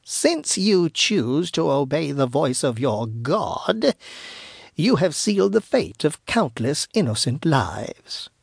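A man voicing a wicked, boastful old witch in a storybook, with a craggy voice and slow, threatening delivery. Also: a little high, big pitch swings, vocal fry, husky, dry recording, studio quality, full character voice. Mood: vengeful. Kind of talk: storybook narration character voice.

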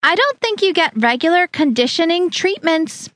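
A woman voicing a snobbish middle-aged future mother-in-law who talks in a prim, condescending, drawn-out way. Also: extremely high pitch, sing-song, fairly bright tone, no room sound, pitched above the performer's own voice, heavily acted.

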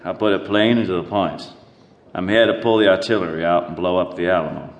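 An actor playing a gruff, commanding frontier colonel in a radio drama, speaking curtly and decisively. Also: male voice, sits low, fairly quick. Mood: businesslike. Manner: curt, commanding.

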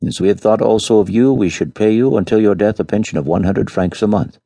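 A man voicing a grave, deliberate gentleman making a formal offer, in a story reading. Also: on the low side, creaky, husky, dry recording, voice pushed up. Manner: measured, formal.

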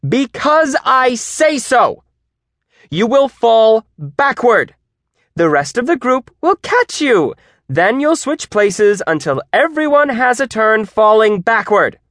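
A man's voice: very high voice, very animated, slow, no echo, clean studio sound, pitched above the performer's own voice, heavily acted. A man voicing a bossy, relentlessly peppy female gym teacher who exclaims everything, in an audiobook. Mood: exasperated, bossy. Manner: exclamatory, shouting cheerleader enthusiasm. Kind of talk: audiobook character voice.

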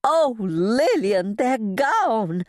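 A woman's voice: lively intonation, normal speed, dry recording, clean studio sound, close to an everyday voice. A woman voicing a frantic, proper older lady in an audiobook, breathless, exclaiming and indignant. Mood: panicked. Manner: shrill, breathless wailing and exclaiming.

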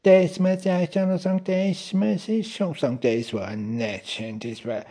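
A man's voice: high voice, fairly even intonation, a little slow, mellow. A man playing an offended scolder lost for words, stammering, huffing and repeating himself in a comic radio play. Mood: indignant, sputtering.

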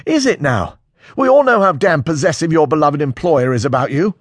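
A man's voice: on the high side, animated, brisk, slightly rough, no room sound, clean studio sound, voice pushed up. A man voicing an angry, defensive male character in an audiobook, mocking and aggrieved. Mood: mocking, menacing. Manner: menacing, mocking, indignant.

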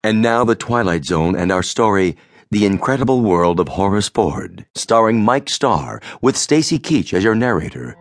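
A man announces a radio drama in a scripted broadcast style. His intonation moves up and down. Quiet music plays behind him. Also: on the low side, expressive, moderate pace.

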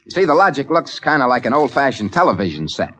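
A man's voice: medium pitch, big pitch swings, fast, a bit bright. A man playing a slangy, impatient American salesman in a 1950s radio drama, folksy and wisecracking. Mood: brisk sales patter. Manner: folksy, colloquial, exasperated with children. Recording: dull vintage radio recording.

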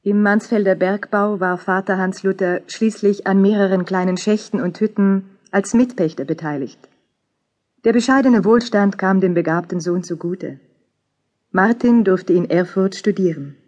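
A woman's voice: mid-range voice, normal speed, darkish tone. A woman reading scripted historical documentary narration.

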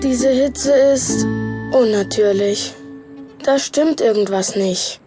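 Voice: medium pitch, slow, over music, natural voice. A performer playing an exasperated, dramatic kid in an audio drama, complaining theatrically.

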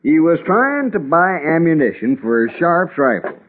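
Grumbly suspicious cowboy townsman, rough voice, tinny radio drama. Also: on the high side, big pitch swings, moderate pace. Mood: grumbling, agitated. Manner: grumbling, insistent. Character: suspicious, bigoted frontier townsman, stubborn and agitated. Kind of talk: 1950s radio western acting. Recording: tinny 1950s radio recording.

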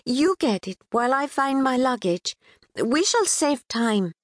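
A woman's voice: sits high, big pitch swings, a little slow, dry recording, studio quality, voice pushed up. A woman voicing a timid, petite young woman with a Pakistani accent tinged with British, speaking in a hesitant, sing-song, formal way. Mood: pleading. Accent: Pakistani with a British twang.

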